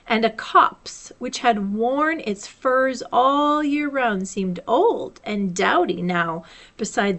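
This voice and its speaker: Slow-paced woman reading a storybook. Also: on the high side, fairly bright tone. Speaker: library storyteller. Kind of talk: children's storybook read-aloud.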